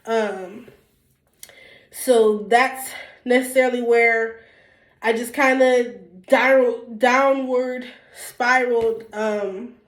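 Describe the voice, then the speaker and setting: A woman's voice: a little high, fairly even intonation, drawn-out words. A young woman with a stuffy nose from a cold, talking candidly to her viewers in a rambling, casual way with lots of ums and restarts. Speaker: YouTuber. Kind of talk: casual sit-down talk to camera. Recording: echoey room.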